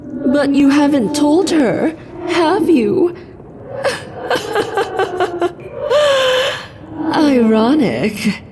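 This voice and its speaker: A woman voicing a mocking, gloating female demonic spirit in a game, with a slow, theatrical, taunting delivery. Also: high-pitched, sing-song, noisy background, exaggerated voice.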